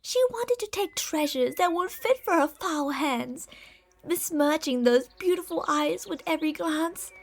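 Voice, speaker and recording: A woman's voice: high voice, expressive, a little slow. A woman voicing a possessive, delusional lamia monster in an ASMR roleplay, speaking in a haughty, theatrical, sweetly gaslighting way. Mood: possessive, stern.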